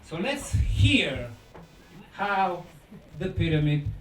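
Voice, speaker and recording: A high-voiced man lectures with a very lively, swooping pitch. He speaks in deliberate short phrases and pauses for effect, heard from a distance in an echoing room. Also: soft background music. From Bosnia. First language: Bosnian. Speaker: lecturer and researcher. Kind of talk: conference lecture. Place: indoor lecture room at a conference. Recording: echoey room, distant mic, faint noise.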